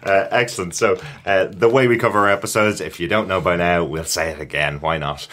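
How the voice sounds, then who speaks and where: A man's voice: low-pitched, steady tone, brisk, fairly bright tone. A man hosting a casual podcast discussion, easygoing and laughing readily as he steers the talk. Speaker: podcast host.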